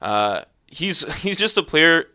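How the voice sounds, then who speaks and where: A man's voice: on the high side, very animated, takes it slowly, sharp tone, smooth. A man hosting a sports podcast, speaking confidently and emphatically with firm opinions. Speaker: podcast host. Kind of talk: casual sports chat.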